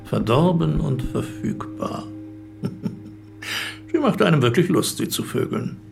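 A man playing a guarded, worldly bank director in a radio drama, with a creaky voice and polished, formal delivery. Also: on the high side, big pitch swings, slow, darkish tone, faint music behind, full character voice. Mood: confiding, suave.

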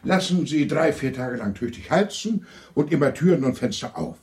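An actor playing a courteous, amiable elderly family doctor in a German radio drama. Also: male voice, a little high, animated, normal speed, darkish tone, lightly acted. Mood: courtly, reassuring.

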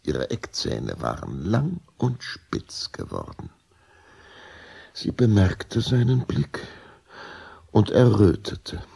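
A man narrating a scripted children's story as its narrator, with measured, dramatic storytelling. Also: lowish voice, wildly swooping pitch, creaky, mellow, slightly rough.

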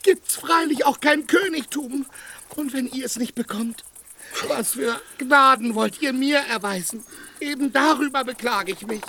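A man's voice: extremely high pitch, unhurried, pushed and bright, full character voice. A man playing a simple, chatty peasant squire in a radio play, stammering and hedging in blunt, earthy talk. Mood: flustered, evasive. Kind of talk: German radio play acting.

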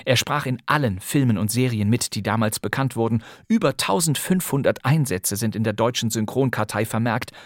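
A man hosting a radio-drama podcast, narrating a script in a lively, chatty way and speaking straight to the listener. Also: medium pitch, brisk, hardly any pauses, no room sound, clean studio sound. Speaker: podcast host. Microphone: close studio microphone.

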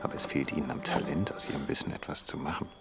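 Low-voiced, proud young engineer. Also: male voice, fairly even intonation, fast, very noisy. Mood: scornful. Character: proud, ambitious young Egyptian engineer, earnest and resentful. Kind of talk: intimate radio drama dialogue. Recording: music behind the voice. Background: music.

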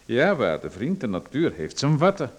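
A man playing a smooth, menacing official in a radio drama, condescending and coaxing. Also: mid-range voice, huge pitch swings, normal speed. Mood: patronizing. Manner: condescending, coaxing then threatening.

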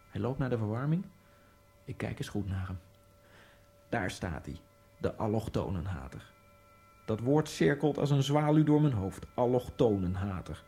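An actor playing a nervous, wryly ironic Egyptian immigrant, narrating his thoughts in a hushed first-person monologue. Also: medium pitch. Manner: hushed, brooding inner monologue.

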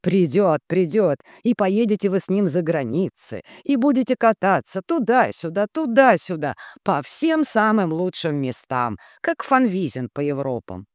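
A woman voicing a bossy, coarse female minder who is brisk, stern and cajoling. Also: on the low side, big pitch swings, no room sound, clean studio sound, pitched above the performer's own voice. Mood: coaxing.

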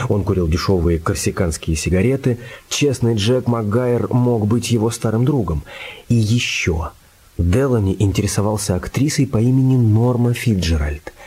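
An actor playing a keen, logical young amateur detective in a radio drama. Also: male voice, fairly low pitch, breathy edge. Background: loud music.

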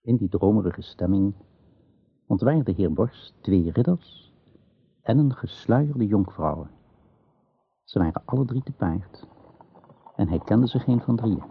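A man's voice: lowish voice, lively intonation, slow, gravelly, pauses often, breathy edge. A man narrating a scripted radio drama as its storyteller. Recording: narrow, phone-like old radio recording.

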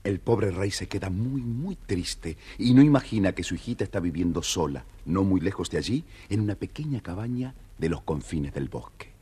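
Deep, very husky male storyteller. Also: expressive, moderate pace, few pauses, mellow. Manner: theatrical storytelling. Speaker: narrator. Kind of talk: scripted fairy tale narration.

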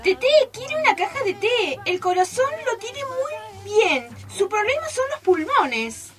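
Very shrill, cranky old lady. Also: very animated, a little slow, heavily acted. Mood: indignant. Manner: indignant, mishearing others. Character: cranky, hard-of-hearing old lady in a tea shop. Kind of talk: comic radio theatre acting.

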